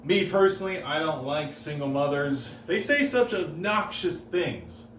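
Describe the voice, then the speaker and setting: A man delivering dry, deadpan one-liners on stage, pausing and stumbling a little before each punchline. His voice sits at an ordinary middle pitch and rings in a roomy space, picked up from a bit back, with faint room noise behind him. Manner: deadpan, halting, with restarts and pauses. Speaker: stand-up comedian. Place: comedy stage. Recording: roomy live room, heard from a distance.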